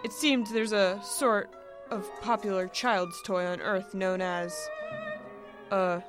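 A woman's voice: mid-range voice, fairly even intonation, slow pace, music in the background, natural voice. A woman voicing a weary, sarcastic, furry male alien in a story, with dry, exasperated delivery.

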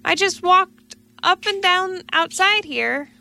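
A woman's voice: extremely high pitch, animated, slow pace, gravelly, sharp tone, dry recording, voice pushed up, exaggerated voice. Whiny little kitten, a woman doing the voice. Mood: whining. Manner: whining, pleading. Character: small fluffy male kitten, whiny and naive.

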